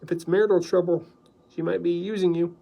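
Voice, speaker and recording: A man's voice: high-pitched, flat delivery, fairly quick, vocal fry, mellow, pitched above the performer's own voice, rough recording. A man doing a blunt, cautious editor. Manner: blunt, warning.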